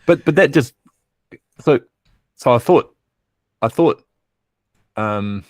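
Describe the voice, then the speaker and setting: A man's voice: medium pitch, animated, takes it slowly, dry recording. A man co-hosting a casual live stream, talking in a hesitant, rambling, thoughtful way. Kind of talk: casual chat.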